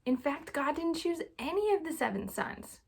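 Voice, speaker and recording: A young adult woman reading a storybook aloud to children in an informal, animated way. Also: high-pitched, normal speed, gravelly. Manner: casual, child-directed, animated on dialogue.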